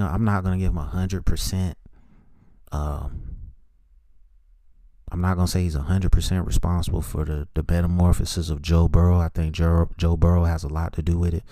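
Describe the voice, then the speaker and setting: A man delivers solo sports commentary as a podcast host. His voice sits low, with a dark, mellow tone. He talks casually and conversationally while giving his opinions, and the sound is very dry, with almost no room in it. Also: no echo.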